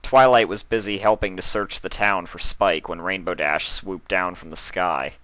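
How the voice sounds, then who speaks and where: A man narrates a scripted story in a low but bright voice. His delivery is deliberate and told like a storyteller, recorded very dry with no room sound. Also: fairly even intonation, no room sound. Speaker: fan fiction reader and narrator.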